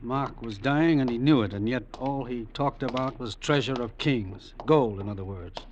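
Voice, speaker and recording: An actor playing a shrewd blind crime boss in an old radio suspense drama, with a terse, controlled, authoritative manner. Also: male voice, mid-range voice, normal speed, a little mellow, highs cut off, close to an everyday voice. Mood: grim, commanding. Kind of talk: 1950s radio acting. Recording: vintage radio drama, faint background noise.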